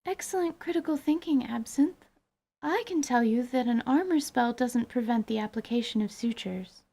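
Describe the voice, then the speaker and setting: Scholarly female mage, acted by a woman: raised pitch, earnest teacher, formal and exact wording, fantasy audio drama. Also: moderate pace, dark tone. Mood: earnest, explanatory. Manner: precise, explanatory, formal wording. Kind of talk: dramatized tabletop role-playing audio drama.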